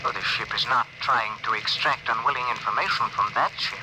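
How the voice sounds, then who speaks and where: An actor playing a calm, formal alien envoy with measured, stilted phrasing in a 1950s radio drama. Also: male voice, mid-range voice, close to an everyday voice. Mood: grave, formal. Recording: noisy vintage 1950s radio broadcast.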